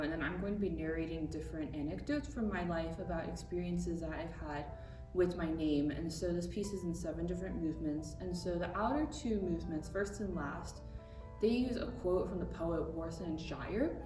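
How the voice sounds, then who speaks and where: A woman composer from the United States, explaining her piece plainly. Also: fairly low pitch. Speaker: composer and narrator. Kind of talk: interview talk. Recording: roomy echo from far off with music.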